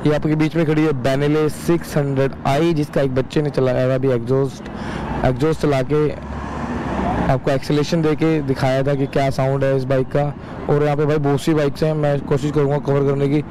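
A man's voice: fairly high pitch, flat delivery, a little fast, poor audio. A man giving casual, enthusiastic motovlog commentary, often repeating his exclamations. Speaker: motovlogger, host. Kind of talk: casual vlog commentary to viewers. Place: outdoors on open roads and roadside. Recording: very loud outdoor motorbike and traffic noise. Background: motorcycle engines, vehicles.

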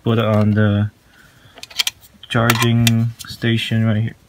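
Man, casual home tutorial presenter. Flat, unhurried, halting delivery on a slightly noisy handheld recording. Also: lowish voice. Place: small room at home, indoors.

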